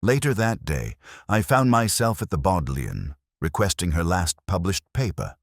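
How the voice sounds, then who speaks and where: Man voicing a very deep, brooding detective narrator. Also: sing-song, no room sound, studio quality, heavily acted. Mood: brooding, reflective. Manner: measured, literary, reflective, somber. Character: brooding, melancholy English male police detective narrating his case. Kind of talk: scripted first-person detective narration.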